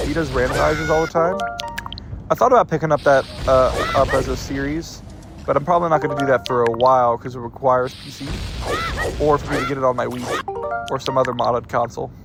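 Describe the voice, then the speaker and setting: Stuffy-nosed man with gravelly voice. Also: medium pitch. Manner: rambling, corrects himself mid-sentence. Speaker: gameplay commentator. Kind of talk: casual let's-play commentary.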